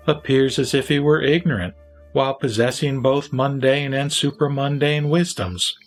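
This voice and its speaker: A man narrates scripture in a formal, declamatory way. His medium-pitched voice is measured and deliberate, with music playing behind it. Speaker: narrator and reader. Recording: background music under the voice.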